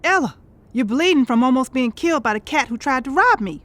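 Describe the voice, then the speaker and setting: Sassy, sharp-tongued woman, very expressive. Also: sits high, fairly quick, slight background noise. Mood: sardonic, teasing. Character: sharp-tongued, indignant, sardonic woman, singer Lorraine. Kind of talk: naturalistic audio drama acting.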